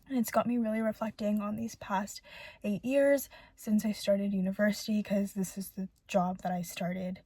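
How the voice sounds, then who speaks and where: A woman's voice: medium pitch, mellow, breathy edge, no echo. A woman in her twenties vlogging to her camera, chatty, upbeat and candid, sometimes turning reflective. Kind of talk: casual chatty vlog.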